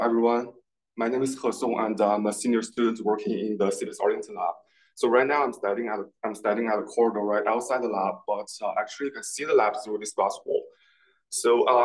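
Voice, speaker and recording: A young man with a low voice shows visitors around a lab in an informal way. He speaks haltingly, often repeating words, and the sound is fairly dry. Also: slightly rough, no room sound, poor audio. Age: young adult. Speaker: graduate student tour guide. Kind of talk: informal guided lab tour. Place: large open indoor lab.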